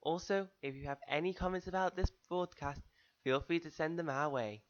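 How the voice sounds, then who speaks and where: A man's voice: on the high side, moderate pace, muffled recording. A man reading fan fiction as an earnest first-person dramatic narration, addressing his listeners directly on an amateur recording.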